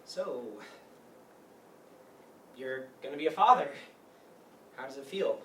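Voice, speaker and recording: A man's voice: medium pitch, animated, slow pace, bright, forceful tone. An actor playing a nervous, imaginative, apologetic young man in a staged play reading. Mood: subdued, sincere. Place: meeting room staged reading, distant camera. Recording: echoey room from far off, noisy.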